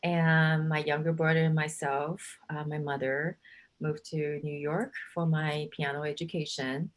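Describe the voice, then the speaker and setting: A woman's voice: deep voice, flat delivery, measured pace. A middle-aged woman from Taiwan, a real estate agent, chatting casually as a guest and laughing often. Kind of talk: casual interview chat.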